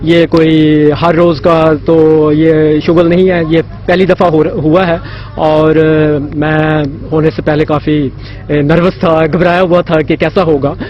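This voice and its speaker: A male stage actor talking in an unscripted interview, halting and repeating words. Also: fairly high pitch, flat delivery. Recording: dull sound with faint noise.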